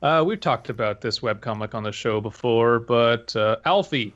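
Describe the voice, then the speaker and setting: A man's voice: medium pitch, normal speed, a bit bright, highs cut off. A man hosting a podcast, chatting casually and with enthusiasm. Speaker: podcast host. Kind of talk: casual recommendation chat.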